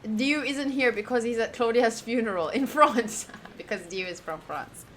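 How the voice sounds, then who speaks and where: A woman's voice: a little high, moderate pace, a little hiss or hum. A woman with an American accent, playful and theatrical, reading a visual novel aloud and chatting as she streams. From United States.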